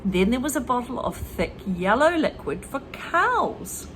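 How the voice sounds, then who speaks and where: Lively female storyteller-teacher, reads and explains vocabulary, light room noise. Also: a little high, measured pace. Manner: lively, explains words to learners. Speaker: reader and English teacher. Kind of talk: children's story read aloud with explanations.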